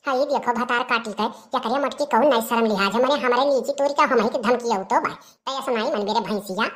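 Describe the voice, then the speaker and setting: A woman's voice: a little high, bright, forceful tone, a little hiss or hum. A woman playing a furious, abusive village orchard owner in a comedy cartoon, scolding and insulting in an Awadhi accent. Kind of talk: rustic comedy cartoon dialogue.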